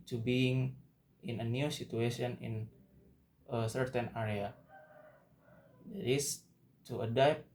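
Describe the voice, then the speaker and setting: A young Indonesian man speaks English at a very unhurried pace, pausing and repeating words as he restarts his sentences. His voice sits at an ordinary pitch for a man, and he talks like a student giving a spoken assignment. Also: a little mellow. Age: young adult. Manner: slow, halting, with frequent restarts and repeated words. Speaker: university student. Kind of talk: spoken class assignment monologue.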